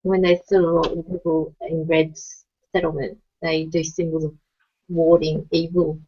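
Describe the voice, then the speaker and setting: Husky, lowish Australian woman asking questions. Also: flat delivery, a little slow, mellow. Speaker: book discussion participant. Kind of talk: casual chat. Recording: dull, lo-fi internet call sound.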